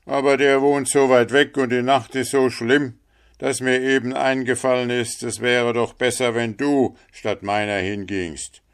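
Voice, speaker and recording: A man's voice: medium pitch, fairly even intonation, a little slow, clean tone, pitched above the performer's own voice, lightly acted. A man voicing a selfish, scheming miller in a read-aloud storybook, pleading in a wheedling, self-serving way.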